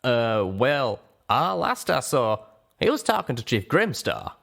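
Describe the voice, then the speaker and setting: A man's voice: mid-range voice, big pitch swings, a little slow, creaky, a bit bright, voice pushed up, heavily acted. A man voicing a folksy, drawling male pegasus pony, a concerned friend in an audiobook. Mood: concerned, hesitant. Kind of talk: dramatic audiobook character reading.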